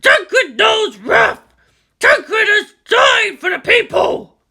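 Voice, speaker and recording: A woman voicing a proud, wrathful, zealous giant war machine, bellowing slow, drawn-out battle declarations. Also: extremely high pitch, sing-song, gravelly, bright, forceful tone, voice pushed up, exaggerated voice. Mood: wrathful, triumphant.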